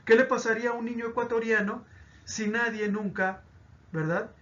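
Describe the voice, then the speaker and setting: A man's voice: very high voice, steady tone, moderate pace, fairly bright tone, highs cut off. A man teaching a pastoral catechism lesson, explaining with examples and often checking in with "¿sí?".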